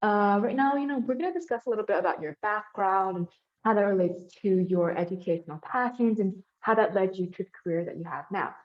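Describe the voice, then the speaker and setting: A woman's voice: mid-range voice, a little fast. A young woman interviewing a professor, asking friendly questions full of ums and likes. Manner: friendly, filler-heavy, with many ums and likes. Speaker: student interviewer. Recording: slightly dull lo-fi audio.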